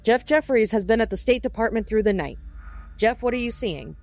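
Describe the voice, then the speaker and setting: A woman's voice: fairly high pitch, lively intonation, brisk, darkish tone, soft background music. A woman playing a grave, careful news anchor in a scripted breaking news broadcast. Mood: grave, measured.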